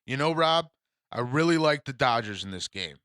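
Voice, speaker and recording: A man with a low voice co-hosting a casual sports podcast, giving his picks with confident, joking banter. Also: animated, normal speed, fairly bright tone, clean tone, dry recording, studio quality. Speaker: podcast co-host.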